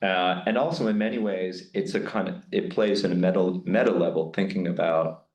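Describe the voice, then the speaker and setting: A man's voice: low voice, fairly even intonation, a little fast, a little mellow, roomy sound. A man answering questions after a lecture, thinking aloud in a candid, rambling way with many restarts. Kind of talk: candid academic Q&A.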